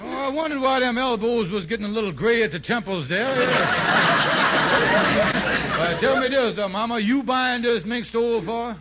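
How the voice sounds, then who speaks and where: An actor playing a blustering, wisecracking henpecked husband with folksy grammar and a grumbling manner in a 1950s radio comedy. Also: male voice, very high-pitched, moderate pace. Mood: dismayed. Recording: muffled, very noisy old radio recording.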